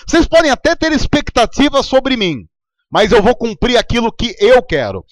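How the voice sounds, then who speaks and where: Brazilian male pastor, high animated voice, dry close sound, chatty sermon delivery. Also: moderate pace, no echo. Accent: Brazilian Portuguese. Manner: conversational preaching with anecdotes.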